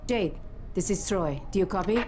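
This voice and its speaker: A woman playing a calm, serious resistance leader in video game dialogue. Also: mid-range voice, normal speed, noisy background, natural voice.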